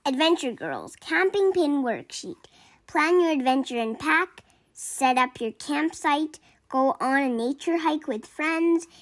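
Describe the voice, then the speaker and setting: A woman reads a children's picture book aloud in a fairly high voice. Her pitch moves a great deal, and she takes the story slowly, acting out the characters' lines expressively. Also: sing-song.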